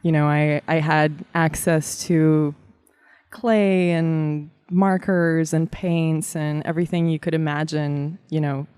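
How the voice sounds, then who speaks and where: A woman in her thirties from Kazakhstan, telling animated stories about her childhood as a guest in an interview. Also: sits low, takes it slowly, clear, resonant tone, no echo. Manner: warm, animated storyteller with frequent laughs and fillers. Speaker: guest artist. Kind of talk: casual interview storytelling.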